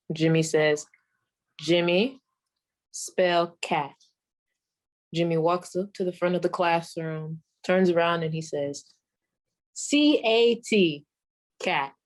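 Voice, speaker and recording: Sassy old female stand-up played by a woman, 1940s radio act, low-pitched, very unhurried, folksy deadpan storyteller. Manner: folksy, deadpan, drawn-out delivery with deliberate pauses. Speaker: comedian performing a monologue.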